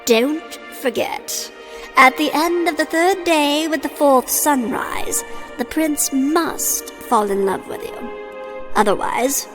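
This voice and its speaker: A scheming sea witch from an animated fairy tale, speaks in a high voice. She drags her words out with slow menace. Her bargaining rings in long reverb over soft music. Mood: ominous echo. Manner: slow, drawn-out, ominous bargaining.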